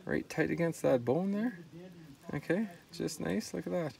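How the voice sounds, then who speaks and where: A middle-aged man giving a relaxed, hands-on fish filleting demonstration at an outdoor cleaning table, talking as he works. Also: fairly high pitch, measured pace, vocal fry, recorded from a distance. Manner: relaxed, talks while working, repeats "see that". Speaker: fishing video host.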